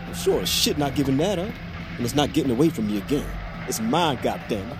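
A man's voice: medium pitch, expressive, fast, music in the background, close to an everyday voice. An actor playing a bitter, obsessed middle-aged father in an audio drama, defiant and menacing. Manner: intense, defiant, swearing. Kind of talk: audio fiction drama acting.

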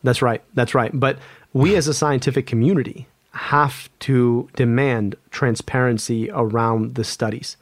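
A man's voice: mid-range voice, fairly even intonation, measured pace, no room sound. A man, an epidemiologist guest, speaking earnestly in an interview, often restarting and repeating words. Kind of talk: conversational interview.

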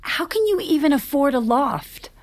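A woman's voice: high voice, big pitch swings. A woman playing a bewildered, hurt wife in an audio drama, speaking hesitantly in a naturalistic style. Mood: stunned, confused. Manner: halting, hesitant.

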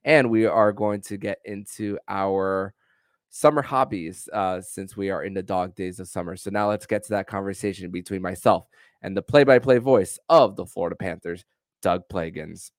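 A man hosting a sports podcast and interviewing, with somewhat halting, stop-start phrasing. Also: low voice, no echo, clean studio sound. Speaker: podcast host.

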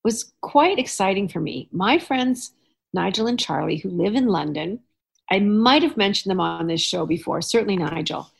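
A middle-aged woman from Canada hosting a relaxed podcast chat. She spins anecdotes with animated asides and easy laughter, at a medium pitch. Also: moderate pace, gravelly, slightly rough. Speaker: podcast host. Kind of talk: casual chat.

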